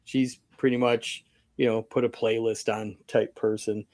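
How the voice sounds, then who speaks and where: A man's voice: mid-range voice, little pitch movement, unhurried, darkish tone, no room sound. A man in his fifties who hesitates and repeats words, laughing often in casual chat. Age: 50s. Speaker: co-host.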